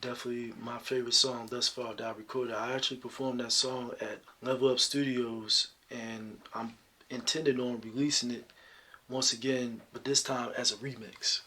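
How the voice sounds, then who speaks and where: Brief: deep-voiced male singer being interviewed, husky tone, easygoing, filler-heavy. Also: moderate pace. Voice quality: deep talking voice. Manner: relaxed, reflective, many "you know" fillers. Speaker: singer guest. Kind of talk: interview answers.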